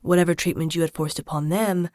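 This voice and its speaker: An actress playing a solemn chronicler, narrating a dark fantasy audio drama in a grave, deliberate storytelling manner. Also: female voice, lowish voice, fairly even intonation, no echo, clean studio sound, lightly acted. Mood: grave, factual.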